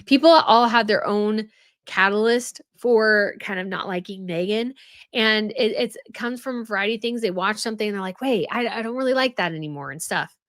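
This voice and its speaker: Young woman, chatty live-stream host, fillers and restarts, dry close sound, medium pitch. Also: normal speed, a bit bright, no room sound. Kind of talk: casual live-stream chat reading viewer comments.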